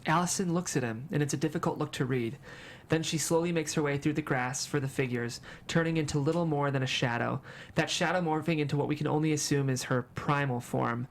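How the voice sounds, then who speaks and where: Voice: mid-range voice, brisk, slight background noise. A narrator reading screenplay stage directions at a table read in a dramatic storytelling manner.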